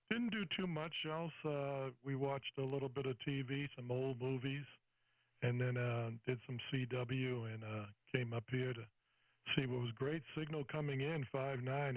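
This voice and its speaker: A man's voice: mid-range voice, no room sound, dull audio. An older American man chatting casually and at length as a ham radio operator, handing over with radio procedure words. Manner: chatty, says "over" to hand off. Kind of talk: casual two-way radio chat.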